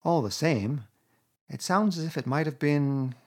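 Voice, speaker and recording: A man voicing a gentle, loyal little male mole in a storybook reading, soft and wistful. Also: a little high, animated, measured pace, pitched above the performer's own voice.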